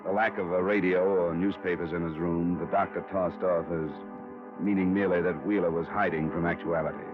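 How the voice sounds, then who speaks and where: A man's voice: sits low, steady tone, brisk, over music, natural voice. A man playing a dogged, hardboiled insurance investigator and narrator in a 1950s radio crime drama, terse, probing and matter-of-fact. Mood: matter-of-fact narration. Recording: muffled vintage radio broadcast recording.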